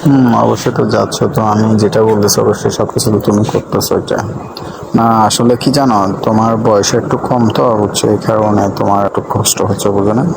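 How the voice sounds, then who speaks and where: A man's voice: fairly low pitch, steady tone, fast, gravelly. A man with a Bangladeshi accent talks casually and intimately on a phone call, coaxing and reassuring, often ending phrases with a questioning hum. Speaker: boss on a private phone call.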